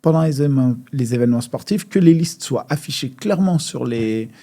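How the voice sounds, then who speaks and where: A man's voice: medium pitch, animated, brisk, mellow. A man, a fighter from France, talking casually and emphatically on a podcast, with frequent fillers and restarts. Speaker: MMA fighter guest.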